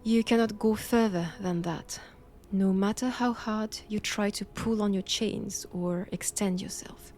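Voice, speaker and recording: A woman's voice: medium pitch, steady tone, a little mellow, slight background noise, close to an everyday voice. A woman with a French accent playing a stern, righteous vampire-hunting nun in a roleplay audio drama, speaking in a formal, commanding manner. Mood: menacing, cold. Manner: slow, deliberate, formal, commanding.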